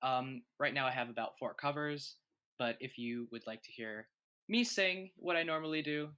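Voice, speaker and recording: A voice actor and singer signing off from a live Q&A, thanking everyone warmly. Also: male voice, mid-range voice, normal speed, clear, resonant tone. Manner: warm, grateful sign-off.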